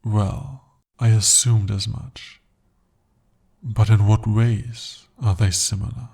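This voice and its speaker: Guy doing a low warm-voiced demon, soft and possessive, asmr roleplay. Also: fairly even intonation, slow, breathy edge, heavily acted. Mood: earnest. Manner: soft, intimate, formal speech. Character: devoted possessive male demon bound to a sorcerer. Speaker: voice actor.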